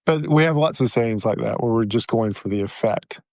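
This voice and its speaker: A man's voice: mid-range voice, normal speed, a little mellow, no echo, studio quality. Easygoing male co-host with mid-range voice. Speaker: podcast co-host. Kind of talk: casual chat.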